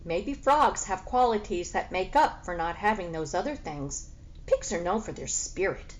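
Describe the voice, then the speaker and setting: A woman's voice: fairly low pitch, animated, normal speed, dull audio, slight background noise, lightly acted. A woman voicing a polite, curious young female pig in a children's story, earnest and well-mannered. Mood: polite, friendly.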